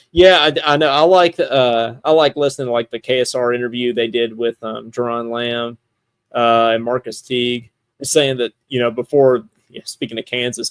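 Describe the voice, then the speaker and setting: A man's voice: medium pitch, smooth, no echo. A man hosting a podcast, chatting casually about sports and thinking aloud in a rambling way. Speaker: podcast host.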